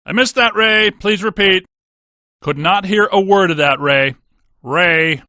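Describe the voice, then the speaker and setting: A man playing a brainy, deadpan scientist ghost hunter in a video game, with precise technical wording. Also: sits high, a little slow, no echo, clean studio sound. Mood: urgent, alarmed. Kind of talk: scripted video game voice acting.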